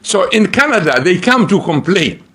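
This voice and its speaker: A man's voice: high voice, sing-song, moderate pace, a bit bright, breathy edge. A man from Africa giving a public talk as a lecturer, emphatic and humorous, repeating phrases for effect. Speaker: university lecturer.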